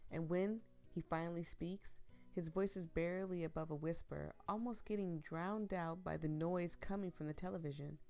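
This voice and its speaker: A woman's voice: lowish voice, warm tone. A young woman getting over the flu and losing her voice, reading a visual novel aloud and breaking off for giggly, rambling asides to her viewers. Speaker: streamer playing a visual novel.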